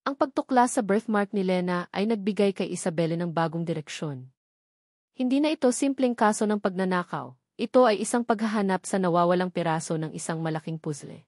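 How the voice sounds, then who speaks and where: A woman's voice: on the low side, animated, a little fast, darkish tone, no echo. Woman narrating a dramatic story, close dry recording.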